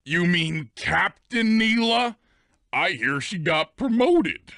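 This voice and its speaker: An actor playing a big, good-natured, simple hippo sidekick in a video game, with a boyish, friendly manner. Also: male voice, sits high, expressive, takes it slowly, pushed and bright, dry recording, studio quality, exaggerated voice.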